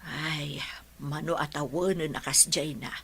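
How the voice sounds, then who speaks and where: A troubled middle-aged wife and mother acted by a woman in a radio play. Her voice sits low and has a breathy roughness, and she speaks with remorse, as if begging forgiveness. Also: steady tone, a little slow, fairly bright tone, no room sound, full character voice. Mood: anxious. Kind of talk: radio drama acting.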